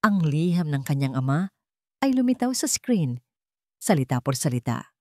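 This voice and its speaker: A woman's voice: low voice, very animated, normal speed, no room sound, clean studio sound. A woman reading a scripted drama story as its narrator, in a dramatic storytelling style.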